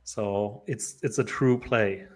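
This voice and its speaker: A man's voice: lowish voice, steady tone, slow pace. Rambling Polish-born man on a live stream. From Poland. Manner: rambling, many fillers and restarts. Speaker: game developer streamer. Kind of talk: casual live stream chat.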